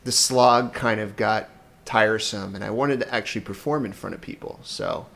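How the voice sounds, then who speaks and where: Gravelly, hesitant male co-host. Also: lowish voice, normal speed, fairly bright tone. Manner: hesitant, rambling, self-deprecating. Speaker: co-host, sound technician. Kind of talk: casual chat.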